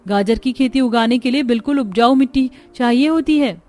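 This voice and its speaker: A woman's voice: on the high side, fairly quick, dark tone, natural voice. A woman voicing a poor young village daughter in a cartoon, earnest and plaintive. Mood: dejected. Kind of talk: Hindi cartoon dialogue.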